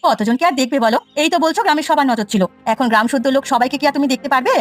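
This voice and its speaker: A woman's voice: high-pitched, lively intonation, rapid-fire, faint music behind. A woman voicing a simple younger village woman in a cartoon folk tale, pleading and questioning. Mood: needling.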